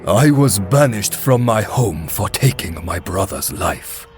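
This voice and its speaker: A man plays a stern exiled archer carrying sorrow in a fantasy drama. His low voice is slow and solemn, yet expressive, over soft music.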